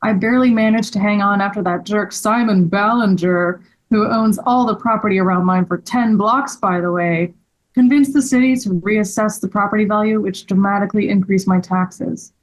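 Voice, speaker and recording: A woman's voice: mid-range voice, steady tone, moderate pace, close to an everyday voice, poor audio. A woman reading the part of a distraught, bitter store owner with dramatic delivery at a table read. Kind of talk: table read of a scripted story.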